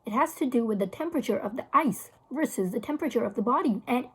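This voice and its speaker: A woman's voice: a little high, lively intonation, fast talker, husky. A woman voicing a cheeky, gleefully gruesome boy who is an enthusiastic family friend in a children's storybook.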